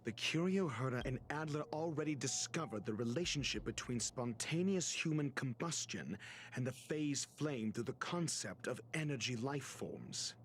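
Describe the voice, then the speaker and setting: An actor playing a composed detective in a video game cutscene, articulate and deliberate as he reasons out a mystery. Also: mid-range voice, expressive, a little hiss or hum, close to an everyday voice. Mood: deductive, measured. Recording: music behind the voice. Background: background music.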